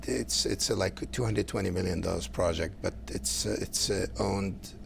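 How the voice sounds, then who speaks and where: A middle-aged man from Lebanon, speaking English, answering interview questions earnestly and haltingly, with many fillers. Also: low voice, fairly even intonation, vocal fry, slight background noise. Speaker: guest, council candidate.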